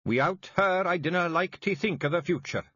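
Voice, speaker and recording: A man's voice: high-pitched, moderate pace, sharp tone, no echo, dull audio, pitched above the performer's own voice. A man voicing a proud, brooding tiny clan chief with a blustering manner, in an audiobook. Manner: blustering, broad dialect.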